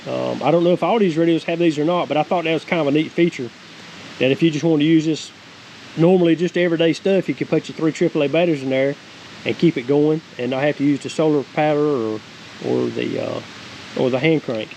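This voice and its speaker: A man's voice: a little high, a little fast, rough recording. A man in his forties with a Southern US accent, giving a casual, rambling, folksy product review as a homestead vlogger. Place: outdoors, wooded creek, then cabin deck. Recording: clear steady background hiss outdoors.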